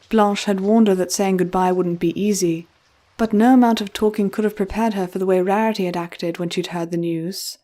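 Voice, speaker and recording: A woman's voice: mid-range voice, dark tone. A woman reading scripted story narration as the narrator of an audio drama. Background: music.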